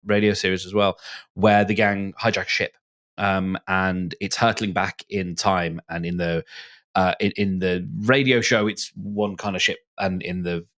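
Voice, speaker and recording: A man with a slightly deep voice talks as a podcast co-host. His delivery is casual and reflective, often halting as he gathers his thoughts before carrying on with the discussion. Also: steady tone, fairly bright tone. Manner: hesitant, reflective.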